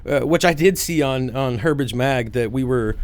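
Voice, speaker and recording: A man's voice: medium pitch, normal speed. A man in his thirties co-hosting a podcast, talking in a rambling, casual way with many restarts and some swearing. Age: 30s. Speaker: podcast co-host.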